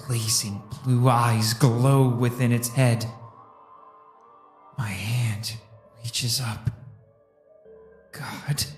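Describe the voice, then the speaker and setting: A man playing an anguished survivor narrating his drift through oblivion in a solemn, hushed, dramatic audio drama style. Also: medium pitch. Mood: desperate. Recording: echoing voice with music underneath.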